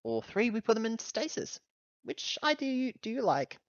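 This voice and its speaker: A man's voice: very high voice, wildly swooping pitch, moderate pace, no echo, dull audio. A man chatting casually as a player in a tabletop roleplaying game, deliberating as he goes.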